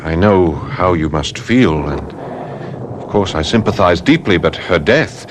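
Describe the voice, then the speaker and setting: On a noisy old radio recording, a young doctor and mesmerist speaks. His voice is low with very lively inflection, measured and commanding in a theatrical way. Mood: reassuring. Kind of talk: old-time radio drama acting.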